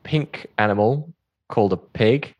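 A man's voice: fairly low pitch, unhurried, a little mellow, lo-fi sound. A man interviewing as a radio host in a casual, jokey, bantering way. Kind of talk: casual playful interview chat.

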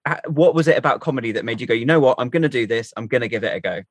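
Animated male radio host. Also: mid-range voice, rapid-fire, no room sound. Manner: encouraging, friendly. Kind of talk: upbeat radio interview. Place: live radio show at a comedy festival.

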